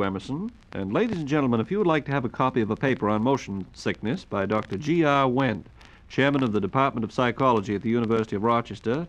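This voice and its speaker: A man hosting a 1940s radio program, speaking formally and courteously as an announcer. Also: mid-range voice. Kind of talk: 1940s radio announcing, reading listener questions. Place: radio studio. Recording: noisy 1940s radio recording.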